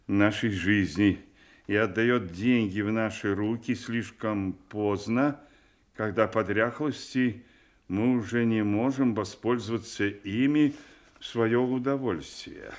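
Slow, theatrical older nobleman. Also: lowish voice. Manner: theatrical, emphatic, swinging from hushed to outraged. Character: aging gullible nobleman father, anguished and suspicious. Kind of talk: classical stage acting for an audio play.

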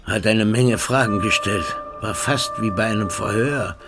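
A man's voice: fairly low pitch, unhurried, close to an everyday voice. An actor playing a blunt, cynical local man who brushes things off with coarse words. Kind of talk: radio drama acting.

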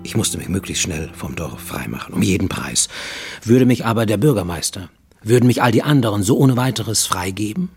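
An actor playing a brooding Japanese man on the run, confessing in a husky, intense radio play monologue that drops to near-whispers. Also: lowish voice, lively intonation, brisk, darkish tone, soft background music, heavily acted. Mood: brooding, determined.

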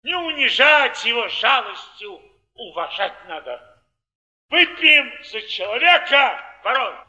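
An actor playing a tipsy, proud ex-convict cardsharp who philosophizes fervently in a declamatory Russian stage style. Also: male voice, very high-pitched, sing-song, pushed and bright, exaggerated voice. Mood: exalted, oratorical. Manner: declamatory, emphatic, with long weighty pauses. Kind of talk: 1950s Russian theatrical stage acting.

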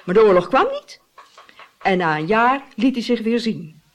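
A woman's voice: fairly high pitch, animated, normal speed, close to an everyday voice. A woman playing a gossipy, pious widow in a radio drama, telling scandal in a dramatic, confiding way. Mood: confiding, ominous. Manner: dramatic, confiding storytelling with tag questions.

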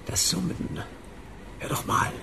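An actor playing a wry, self-deprecating small-time private detective with a gravelly voice and dry irony in a radio drama. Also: male voice, slow, noisy background, lightly acted. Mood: wry, urging. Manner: dry, ironic, laconic. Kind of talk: German radio drama acting.

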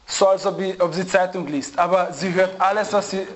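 High-voiced man teaching a religious circle. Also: normal speed, muffled recording, a crowd in the background. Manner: animated storyteller, repeats and restarts phrases. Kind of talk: informal religious lesson with anecdotes.

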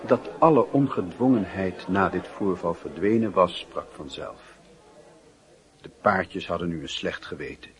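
A man narrating a wistful literary radio drama as a reflective, measured storyteller with a husky, raspy voice. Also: sits low. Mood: melancholy.

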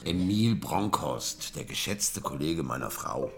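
An actor playing a brooding, jealous husband who recounts his marriage in a measured, reflective way in a radio play. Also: very deep voice, animated, creaky, heavily acted. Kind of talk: radio play narration and dialogue. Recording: music behind the voice.

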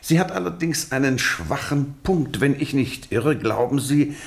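An actor playing a shrewd, probing detective in a radio drama, formal, measured and relentless in questioning. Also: male voice, mid-range voice, lively intonation, gravelly. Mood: probing, reasoning. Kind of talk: scripted radio drama dialogue.

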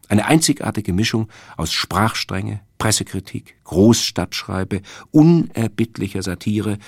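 A man delivering a scripted literary radio essay as a presenter, in a measured, emphatic and erudite manner. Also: low-pitched, breathy edge, no room sound, clean studio sound. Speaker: radio presenter introducing a radio play.

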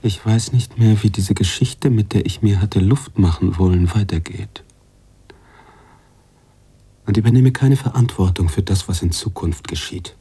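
An actor playing a weary, troubled author narrating his own story in a radio drama, brooding and resigned, with a gravelly, husky voice. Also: lowish voice, unhurried, warm tone, full character voice.

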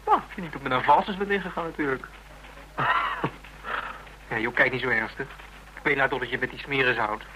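A man's voice: mid-range voice, fairly quick, long pauses, a little hiss or hum, natural voice, low-quality recording. A man playing an insecure, blunt young suitor in a radio drama, hesitant and informal in his speech. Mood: teasing, piqued. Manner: hesitant, stumbling, informal, excitable. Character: Ruud, insecure jealous teasing young suitor, blunt and boyish. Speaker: actor in a radio play. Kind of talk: Dutch radio drama acting, naturalistic dialogue.

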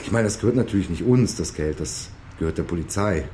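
An actor playing a naive, good-natured amateur crook in a German crime radio play, hesitant and sheepish in a natural way. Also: male voice, deep voice, animated, quick pace, warm tone, slight background noise. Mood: pleased, relaxed.

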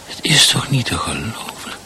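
An actor playing a bewildered, meekly polite husband losing his grip in a radio drama, muttering haltingly and repeating himself. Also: on the low side, big pitch swings, bright, forceful tone, slight background noise, exaggerated voice. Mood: muttering, puzzled.

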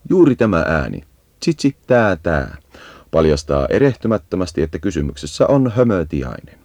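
A man giving a measured, instructive scripted narration for an educational nature guide. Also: low voice, big pitch swings, a little mellow, dry recording.

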